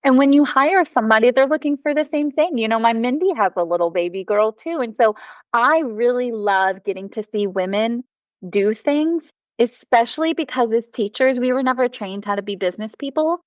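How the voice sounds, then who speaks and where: A woman's voice: fairly high pitch, animated, moderate pace, no room sound, highs cut off. An American woman, a mom and former teacher, talking enthusiastically as a podcast guest. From United States. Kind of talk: casual podcast conversation.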